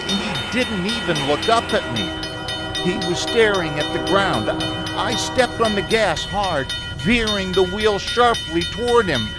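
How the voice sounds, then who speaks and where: A man playing a haunted, frightened driver who recounts an eerie road journey in a halting, stammering radio-drama style. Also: sits high. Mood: desperate, panicked. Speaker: amateur community theater actor. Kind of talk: dramatic first-person radio play narration. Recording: music under the voice.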